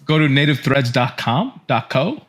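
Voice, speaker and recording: A man's voice: mid-range voice, big pitch swings, moderate pace. A man in his thirties with a mid-range voice talks as a podcast co-host. He is casual and opinionated, bantering in an informal, conversational way as if among friends. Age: 30s.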